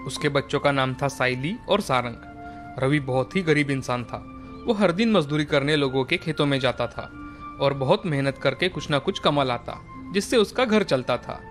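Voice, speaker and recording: A man narrating an animated moral story in a steady, plain storytelling manner. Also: mid-range voice, normal speed, faint music behind.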